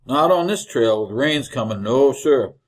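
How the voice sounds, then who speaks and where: An actor playing a sardonic, grumbling tobacco-chewing wagon driver in a radio Western, with a husky American Western voice. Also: male voice, mid-range voice, lively intonation, a little slow, lightly acted. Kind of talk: old-time radio Western acting.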